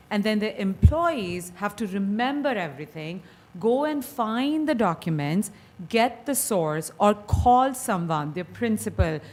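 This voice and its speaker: A woman's voice: mid-range voice, darkish tone. Woman, Indian accent, from India, founder presenting, earnest, persuasive, mid-range voice. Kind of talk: board meeting presentation. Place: meeting hall.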